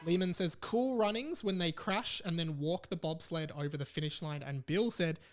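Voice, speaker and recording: A young man with an Australian accent and a fairly high-pitched voice hosts a podcast on his own. He talks in a casual, chatty way, moving between reading messages aloud and adding his own informal comments. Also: moderate pace, soft background music. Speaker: podcast host.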